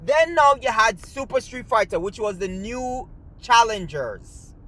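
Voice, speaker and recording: A man's voice: high-pitched, animated, unhurried, pushed and bright. A man with a Jamaican accent, hosting his own channel and ranting in a heated, rambling way with lots of restarts. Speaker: gaming channel host. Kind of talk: casual ranting commentary to camera.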